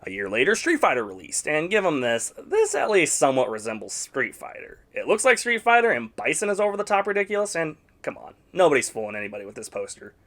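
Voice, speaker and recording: A man's voice: a little high, huge pitch swings, fast, few pauses, a bit bright. A man narrating a scripted video essay reviewing video game movies, with sarcastic, blunt opinions.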